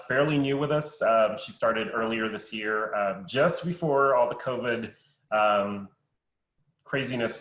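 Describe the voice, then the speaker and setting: A man's voice: on the low side, a bit bright, reverberant, rough recording. A man hosting an online webinar in a casual, easygoing way, with plenty of "um"s and "uh"s. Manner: easygoing, many fillers. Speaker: webinar host.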